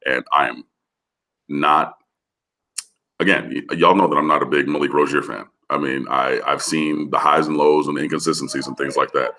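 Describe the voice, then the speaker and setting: A man with a gravelly, hoarse voice, talking football casually over the phone with lots of fillers. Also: very deep voice, fairly even intonation, moderate pace, bright, forceful tone. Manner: rambling with many fillers. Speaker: guest analyst. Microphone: phone line.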